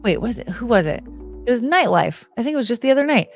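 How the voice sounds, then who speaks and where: A woman's voice: a little high, big pitch swings, fast, faint music behind. An American woman hosting a live stream, chatting in a rambling, unfiltered way with casual swearing. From United States.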